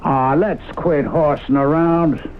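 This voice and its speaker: A man's voice: a little high, fairly even intonation, slow pace. A man playing an impatient, suspicious businessman in a 1970s radio drama, curt and pressing. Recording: muffled, hissy old radio broadcast.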